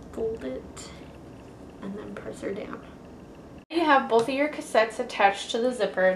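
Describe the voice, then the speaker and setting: A woman's voice: medium pitch, a bit bright. A woman hosting a craft tutorial, talking viewers informally through each step. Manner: informal, addresses viewers as guys. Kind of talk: casual step-by-step how-to instruction. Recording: echoey room with faint background noise.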